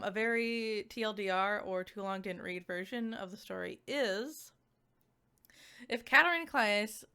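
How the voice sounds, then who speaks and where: A woman with a mid-pitched voice chats through a game review in a loose, unscripted way. She rambles, breaks off to correct herself and tends toward giggles, like a casual VTuber talking to viewers. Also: a bit bright. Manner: giggly, rambling, self-interrupting.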